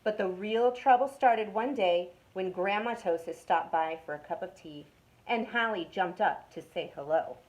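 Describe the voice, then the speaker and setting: A young adult woman from a youth club, reading a picture book aloud to children with expressive delivery that drops to a whisper for suspense. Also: mid-range voice, normal speed, rough recording.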